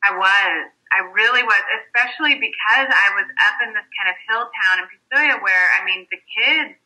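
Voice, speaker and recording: Woman, American, interview guest, very bright tone, chatty, reflective. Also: mid-range voice, moderate pace, low-quality recording. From United States. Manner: chatty, reflective, often restarting sentences. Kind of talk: casual interview conversation.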